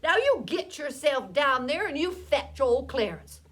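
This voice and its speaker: A woman's voice: sits high, sing-song, a little slow, a bit bright, heavily acted. A woman voicing an amused, bossy farmer father with a rural Southern US accent and a commanding drawl. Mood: amused, firm.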